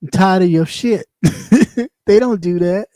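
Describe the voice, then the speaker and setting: Light-voiced guy ranting on his stream, sassy and blunt, kinda high, mellow dry sound. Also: takes it slowly, no room sound. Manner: blunt and sassy, talks in loops and repeats himself. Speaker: live stream host; former radio host. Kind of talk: casual rant on a livestream.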